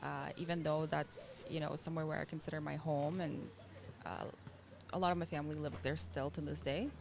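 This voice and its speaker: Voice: mid-range voice, little pitch movement, fairly quick, creaky. An adult parent who was born in Russia and grew up in Israel and Canada, talking earnestly and thoughtfully as a stranger interviewed outdoors, laughing often. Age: adult with children. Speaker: interviewee, stranger met on the street. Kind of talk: casual conversation. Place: outdoors in a public area. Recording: busy crowd noise behind.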